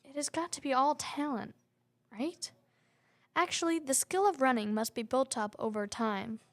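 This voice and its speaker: Young woman reading a script, higher voice. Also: steady tone. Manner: reads from a script, brisk. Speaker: student reporter and narrator. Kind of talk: scripted narration.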